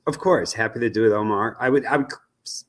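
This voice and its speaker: Low-voiced American man explaining. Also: quick pace. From United States. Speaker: interview guest, research company president. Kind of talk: explanatory interview answers.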